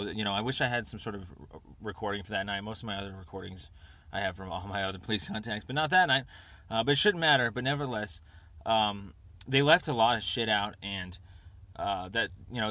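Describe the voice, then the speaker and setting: A man leaving an informal, rambling voicemail over the phone, speaking haltingly as he pieces his thoughts together. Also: on the low side, bright, forceful tone. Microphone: telephone.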